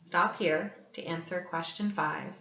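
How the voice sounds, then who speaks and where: A woman reading a story aloud as a teacher, with theatrical, emphatic delivery and dramatic pauses. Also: lowish voice, fairly even intonation, a bit bright, distant mic, slight background noise. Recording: echoey room, slightly dull sound.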